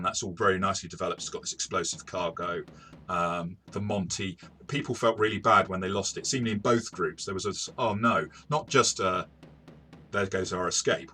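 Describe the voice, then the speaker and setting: Deep-voiced man, bright and stop-start. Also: a little hiss or hum. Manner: hesitant, stop-start delivery with repeated words. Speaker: game master and reviewer. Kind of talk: casual tabletop game review discussion.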